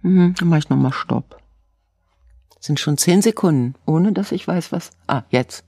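A woman's voice: low-pitched, a little slow, creaky, mellow, no room sound. Woman with a cold, chatty and self-pitying; dry recording. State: has a cold. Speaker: co-host. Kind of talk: casual chat.

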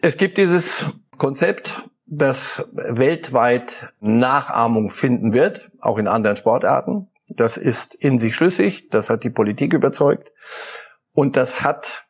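A middle-aged man talking football as a pundit on a talk show, thoughtful and halting, often repeating words as he searches for them. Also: medium pitch, animated, breathy edge. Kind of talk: studio talk show discussion.

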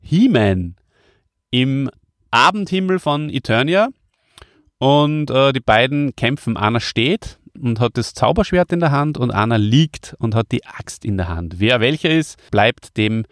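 A man's voice: mid-range voice, animated, unhurried, no echo, studio quality. A man hosting a podcast, presenting in a casual, conversational way and addressing his listeners. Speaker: podcast host.

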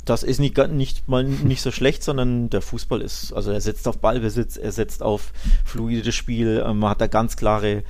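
A man's voice: medium pitch, steady tone, normal speed, few pauses, a little mellow. A man co-hosting a football podcast, giving thoughtful analysis and weighing his points with fillers and asides. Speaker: podcast co-host.

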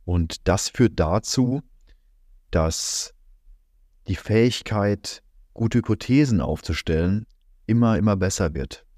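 A man with a very low voice talks through a calm, reflective solo podcast, filling pauses with soft hums and agreeable asides. His voice sounds a touch strained, as if he is slightly under the weather. The sound is dry and close, with no room around it. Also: dry recording. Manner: calm, musing, many fillers and "ja". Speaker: podcast host.

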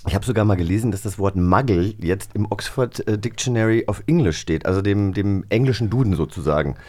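A man's voice: fairly low pitch, a little fast, mellow. A man co-hosting a podcast and interviewing in a casual, chatty style.

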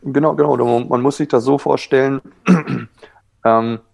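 A man's voice: medium pitch, fairly even intonation, normal speed, gravelly, a little mellow, dry recording. A man who coaches goalkeepers, explaining things in a self-assured, conversational way as an interview guest. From Germany. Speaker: water polo goalkeeper coach, former Olympian.

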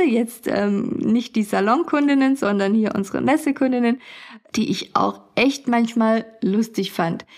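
A young adult woman telling funny stories as a podcast host, chatty and often laughing. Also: a little high. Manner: chatty, laughs often, acts out customers' voices. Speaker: podcast host, beauty studio owner. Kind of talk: casual storytelling podcast.

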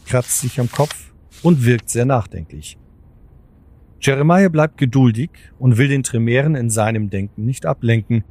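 A man's voice: mid-range voice, a little mellow, no room sound. A man reading scripted narration for an audio drama in the role of storyteller. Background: clear background music.

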